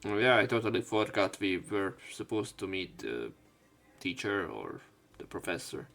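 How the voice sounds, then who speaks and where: A man's voice: sits low, sing-song, normal speed, creaky, a bit bright. A man hosting a let's play, reading game text aloud and adding casual, joking commentary.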